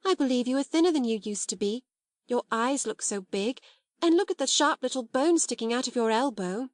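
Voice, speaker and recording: A woman's voice: fairly high pitch, animated, a little fast, no room sound, studio quality, voice pushed up, lightly acted. A woman voicing a timid, admiring young schoolgirl who speaks hesitantly. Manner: hesitant, easily startled.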